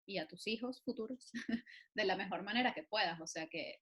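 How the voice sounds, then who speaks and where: A woman's voice: medium pitch, normal speed, pushed and bright. A woman from Venezuela hosting an interview podcast, encouraging and musing aloud with fillers. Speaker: podcast host.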